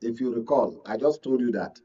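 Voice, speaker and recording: A man's voice: medium pitch, a little fast, dark tone, husky. A man with a Nigerian accent speaking as an analyst on a live panel. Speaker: studio analyst. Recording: dull, distant microphone.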